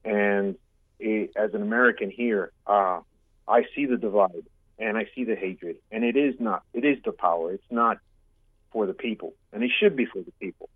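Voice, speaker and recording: A man born in Cuba, calling in to a radio show, speaking in an earnest, halting way that often repeats itself. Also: on the low side, husky, no room sound. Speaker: caller. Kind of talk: call-in over the phone. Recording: tinny phone line.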